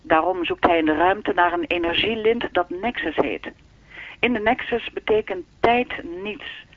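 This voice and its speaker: Radio play: all-knowing keeper of time, unruffled and lecturing, high-pitched, tinny phone sound. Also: male voice, measured pace, no room sound. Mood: calm, lecturing. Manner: formal, lecturing, unruffled, faintly teasing. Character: enigmatic all-knowing keeper of time's audio archive. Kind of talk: radio drama dialogue. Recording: thin, dry telephone-line sound.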